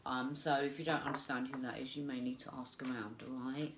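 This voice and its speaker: A woman's voice: rumbling low, steady tone, a little fast, background noise. Congested woman giving a psychic reading. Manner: chatty, hesitant, many fillers. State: congested with flu. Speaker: psychic medium.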